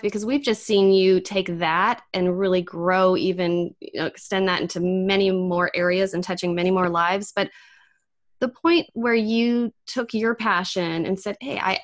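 A woman co-hosting a podcast, asking an interview guest encouraging, winding questions. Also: medium pitch. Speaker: podcast co-host.

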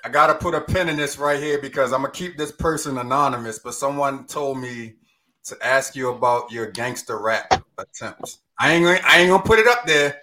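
A man's voice: medium pitch, fairly quick, pushed and bright, slight background noise. A man hosting a casual podcast interview, teasing and laid-back. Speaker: podcast host.

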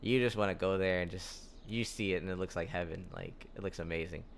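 A young man with a fairly low voice, talking in an easygoing, rambling way and leaning on lots of fillers. He sounds like a podcast guest in casual conversation. A faint hiss sits behind him. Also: brisk. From United States. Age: young adult. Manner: relaxed, rambling storyteller with frequent fillers.

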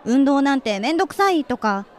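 A woman's voice: sits high, very animated, a little fast, some chatter behind. An actress playing a friendly, forward, sporty woman in her twenties in a radio drama, chatting casually and directly. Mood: chatty, candid. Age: 20s.

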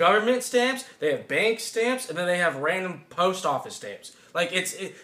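A man talks in a casual podcast discussion, his voice a little high and very bright. He speaks emphatically and comes out in quick, animated bursts.

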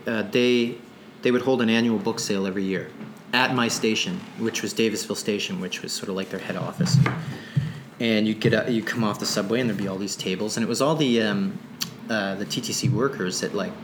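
A man's voice: on the low side, a little fast, background noise. A man from Nova Scotia, an author talking casually in an interview, rambling with many restarts. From Nova Scotia, Canada. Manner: rambling, many restarts, animated when excited. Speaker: author guest. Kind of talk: conversational interview.

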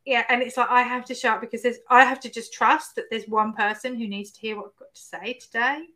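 A woman's voice: on the high side, fairly even intonation, fast, pushed and bright. A woman hosting a podcast interview, chatty and reflective, sharing her own stories. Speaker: podcast host.

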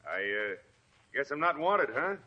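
An actor playing a sly, calm stranger who talks in a low-key, insinuating way, in an old radio play. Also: male voice, measured pace. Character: sly, calm male stranger, nosy intruder. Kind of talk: 1940s radio acting. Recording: dull lo-fi old radio recording.

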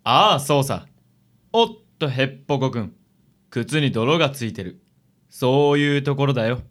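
A man's voice: mid-range voice, expressive, normal speed, a bit bright, natural voice. Man playing a suave, smug handsome detective. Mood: breezy, smug. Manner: smooth, affected, condescending. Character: suave, self-satisfied handsome male detective. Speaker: actor in a radio drama. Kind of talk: radio drama acting.

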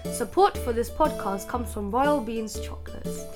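Higher-pitched female announcer over music. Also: expressive, moderate pace. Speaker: podcast announcer reading sponsor message. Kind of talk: scripted ad read. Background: instrumental music.

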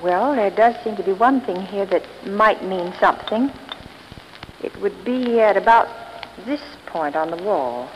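A woman's voice: medium pitch, lively intonation, unhurried, close to an everyday voice, poor audio. An actress playing a frightened but spirited lady in a 1930s radio thriller, earnest and melodramatic. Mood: earnest, curious. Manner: earnest, breathless melodramatic alarm. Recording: hissy old 1930s radio recording.

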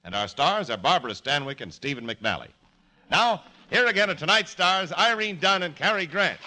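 A man's voice: on the high side, very animated, normal speed. An American man announcing in 1950s network radio style, heard on a noisy old broadcast recording.

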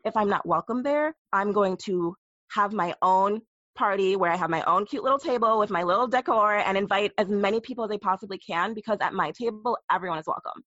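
A woman guest on a podcast telling personal stories with animated, playful asides. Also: mid-range voice, fairly quick, no room sound, lo-fi sound. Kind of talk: candid interview conversation.

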